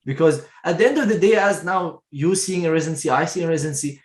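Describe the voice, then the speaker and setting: A man hosts a video interview, praising his guest with plenty of enthusiasm. His voice sits a bit high. The sound bounces around an echoey room. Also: fast. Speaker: host.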